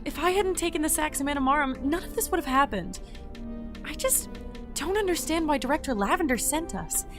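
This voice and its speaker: Woman as an earnest, high-voiced, flustered young entity. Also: over music. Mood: upset, puzzled. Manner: flustered, expressive, halting. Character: earnest, anxious, talkative young entity working at secret facility. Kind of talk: audio drama acting.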